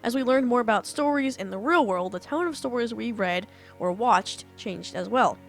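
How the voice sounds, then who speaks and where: A woman's voice: on the high side, normal speed, gravelly, faint music behind. A young woman, an author, explaining storytelling step by step in a casual, earnest way, her voice slightly off after being ill. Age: young adult. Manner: earnest, talks through points step by step. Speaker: author and writing teacher.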